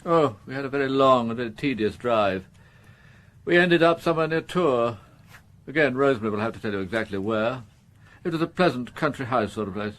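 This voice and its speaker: An actor playing a fussy upper-class English gentleman in a radio crime drama, languid, grumbling and well-mannered. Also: medium pitch, lively intonation, brisk, lightly acted.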